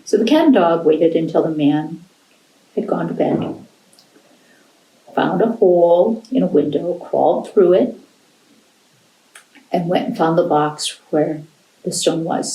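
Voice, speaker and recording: An American woman telling a folktale to children in an animated storyteller's style. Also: fairly low pitch, measured pace, long pauses. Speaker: library storyteller. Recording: roomy, echoing indoor sound.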